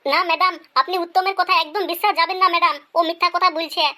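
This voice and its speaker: A performer voicing a cheeky schoolboy pupil who makes excuses in a deferential, pleading way in an animated comedy skit. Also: extremely high pitch, rapid-fire, exaggerated voice.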